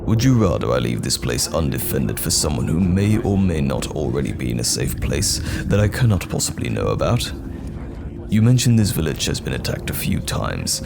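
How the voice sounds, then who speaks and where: Man acting a very deep, gravelly knight general. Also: heavily acted. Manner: measured, commanding, reassuring. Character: steadfast male knight general, calm protector of common folk. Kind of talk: fantasy audio roleplay addressed to the listener. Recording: background music under the voice. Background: music.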